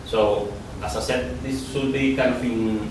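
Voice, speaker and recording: A man's voice: medium pitch, steady tone, a little slow. A man in his thirties with a Spanish accent, giving a casual conference talk, often repeating and restarting his words. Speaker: conference speaker. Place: lecture hall. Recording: echoey hall from far off, noisy.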